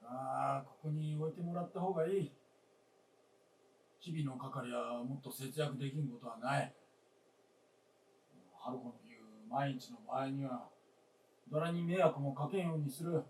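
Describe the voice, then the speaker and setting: An actor playing a stubborn, weary old father in a radio drama, speaking gruffly with resignation. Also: mid-range voice, steady tone, unhurried, long pauses, a little mellow, recorded from a distance, slight background noise, lightly acted. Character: stubborn, weary old father living alone, hard of hearing. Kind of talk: live radio drama acting. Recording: roomy stage recording from afar.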